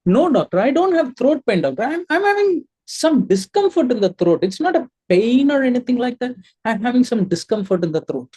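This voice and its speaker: A man's voice: extremely high pitch, very animated, fairly quick, darkish tone, breathy edge, voice pushed up, exaggerated voice. A man role-playing a worried 43-year-old female patient who answers a doctor deferentially in a clinical exercise. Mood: anxious, earnest. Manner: repeatedly addresses the listener as doctor.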